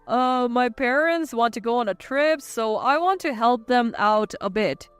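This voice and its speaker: A woman's voice: fairly high pitch, animated, a little slow, clear, resonant tone, no echo, soft background music. A woman voicing a meek, whiny adult husband, hesitant and pleading, in a narrated story.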